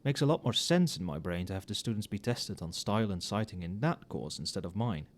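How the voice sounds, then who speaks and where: A man playing an overworked, tipsy magic professor in a casual ASMR roleplay, muttering softly with dry, wry asides. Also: lowish voice, expressive, fairly quick. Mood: musing, tipsy. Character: tipsy, overworked male magic professor grading late at night.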